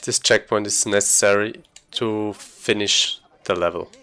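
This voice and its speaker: A man giving casual live commentary as he plays a game, haltingly thinking aloud. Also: fairly low pitch, steady tone, pushed and bright, clean tone. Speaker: speedrunner commentating gameplay.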